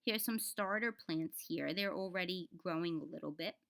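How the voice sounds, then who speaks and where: A woman's voice: fairly low pitch, normal speed, gravelly, fairly bright tone. Woman reading aloud to children. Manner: instructive, addresses children directly, sounds out words. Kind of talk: read-aloud to children with explanatory asides.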